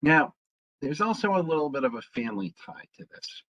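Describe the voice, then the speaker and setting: A man, a town historian, giving an informal public history talk, pausing often with ums and uhs. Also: fairly high pitch, lively intonation, fairly bright tone, slight background noise. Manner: halting, fills pauses with frequent ums. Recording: dull lo-fi sound.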